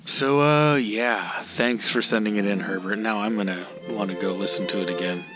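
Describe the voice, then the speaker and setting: A man's voice: fairly low pitch, normal speed, over loud music. A man hosting a podcast in a conversational commentary style. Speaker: podcast host and novelist.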